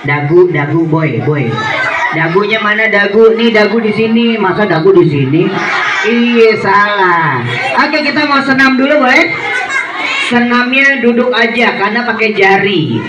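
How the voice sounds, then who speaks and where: A playful man storytelling for schoolchildren, his voice set fairly high for a man and calling out questions to the crowd. He is heard from a distance in an echoey hall. Loud chatter and noise from the children fill the background. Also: measured pace.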